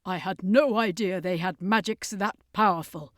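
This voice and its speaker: A woman voicing an earnest male circus master in an adventure story, a heroic leader speaking urgently and gravely. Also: mid-range voice, moderate pace, a bit bright, no room sound, studio quality, close to an everyday voice. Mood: apologetic.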